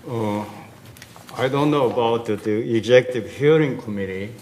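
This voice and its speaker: An older man, a board member speaking up at a community meeting, hesitant and slow as he gropes for words. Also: medium pitch, darkish tone, slight background noise.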